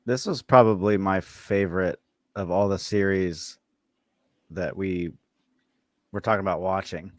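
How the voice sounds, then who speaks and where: Unhurried, low-voiced man chatting casually. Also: steady tone, no room sound, studio quality. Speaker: podcast co-host. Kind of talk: casual chat about horror series.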